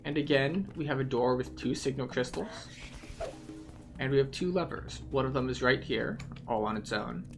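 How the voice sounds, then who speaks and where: A man's voice: mid-range voice, moderate pace, music in the background. A man giving explanatory, methodical commentary as he guides listeners through a video game playthrough.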